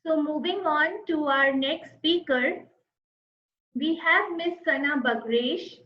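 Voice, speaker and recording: A woman moderating an online event, reading a formal introduction of the next speaker slowly. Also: sits high. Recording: echoey, dull lo-fi sound.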